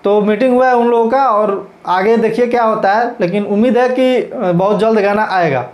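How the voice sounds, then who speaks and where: A man's voice: sits high, normal speed, a little mellow. A man with a Bhojpuri-region Hindi accent giving informal, emphatic news commentary as a channel host, repeating his points as he explains. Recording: echoey, roomy sound.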